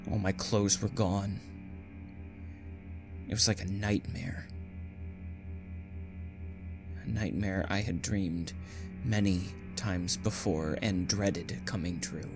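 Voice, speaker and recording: Man acting a condemned poet prisoner, low voice, slow delivery, anguished, theatrical, dystopian audio drama narration. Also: steady tone, creaky, heavily acted. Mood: haunted, brooding. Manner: theatrical, anguished, swelling from hushed to urgent. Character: condemned male poet prisoner, frightened, brooding, recounting his nightmare. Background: music.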